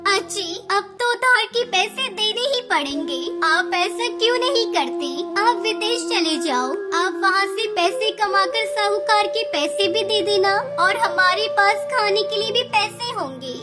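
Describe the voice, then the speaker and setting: A poor, anxious mother bird from a children's cartoon, played by a woman. The voice is extremely high and plaintive, pleading as it speaks. Loud music plays underneath. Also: animated, measured pace, few pauses. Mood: worried. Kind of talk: Hindi cartoon moral-story dialogue.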